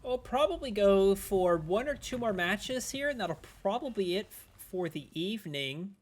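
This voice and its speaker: A man with a fairly high voice talks loosely through a game stream, drifting between play and viewer questions. His voice sounds strained and a little worn. Game sounds and noise run clearly beneath him. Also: animated, moderate pace. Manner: rambling, chatty, answering chat between plays. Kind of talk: casual live-stream gameplay commentary.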